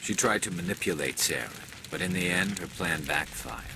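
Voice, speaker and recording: An actor playing a dutiful male squadmate in a video game, with a measured, dry delivery. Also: low voice, background noise. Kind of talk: video game cutscene acting.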